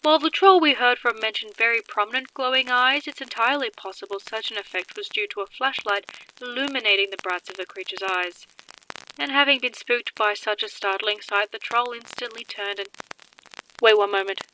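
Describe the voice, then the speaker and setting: A woman's voice: on the high side, a little fast, sharp tone, a little hiss or hum, low-quality recording. A woman playing a formal, precise radio field reporter in a radio drama. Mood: wary. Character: composed female troll field reporter, gradually unnerved.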